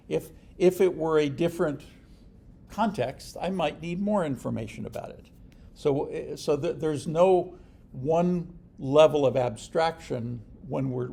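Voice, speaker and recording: Gravelly, halting male programming instructor. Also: medium pitch, mellow, slight background noise. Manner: halting, restarts often, dry humor. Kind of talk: informal lecture.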